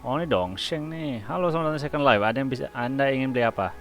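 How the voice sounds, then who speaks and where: A man's voice: medium pitch, rapid-fire, muffled recording. A man with an Indonesian accent giving casual let's-play commentary as a gaming streamer. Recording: game music behind voice.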